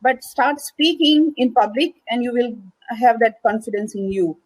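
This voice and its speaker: A woman moderating a live session speaks in a high, light voice. Her remarks are plain, brief and encouraging. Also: normal speed, rough recording.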